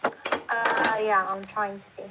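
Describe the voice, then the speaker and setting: A woman's voice: mid-range voice, little pitch movement, slow. Female caller on a faintly noisy line. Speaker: livestream caller. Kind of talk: casual live chat. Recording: faintly noisy live stream call.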